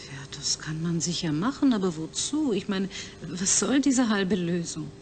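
A woman's voice: lowish voice, expressive, unhurried, darkish tone, a little hiss or hum. A woman playing a caring scientist who patiently soothes her patient in a radio drama. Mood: cautious. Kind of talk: German radio drama acting.

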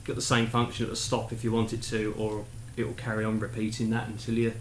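A middle-aged man giving a casual, relaxed demonstration to camera with understated enthusiasm. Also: lowish voice, fast talker, a little hiss or hum. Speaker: presenter of his own equipment demo. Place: small indoor home room. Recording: echoey room, music playing behind. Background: music from the tape machine.

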